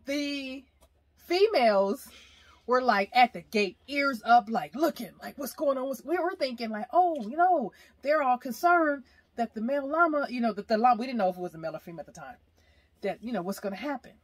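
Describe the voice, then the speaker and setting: Woman telling a lively story, husky voice. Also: a little high, brisk, dry recording. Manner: animated storyteller, laughs often. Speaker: vlogger. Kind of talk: casual storytelling chat. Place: inside a parked RV by a window. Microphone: camera at arm's length.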